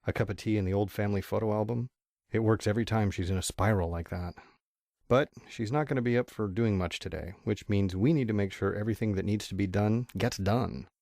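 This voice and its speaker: A man playing a weary, reassuring pony father in an amateur audio drama, calm and confiding. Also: fairly low pitch, fairly quick, no room sound, studio quality, natural voice.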